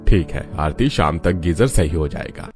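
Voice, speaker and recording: A man performs a middle-aged father in a moral storybook tale. He is calm, indulgent and principled, reasoning without hurry in a deep voice. Soft music runs underneath. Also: normal speed. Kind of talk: Hindi moral story voice acting.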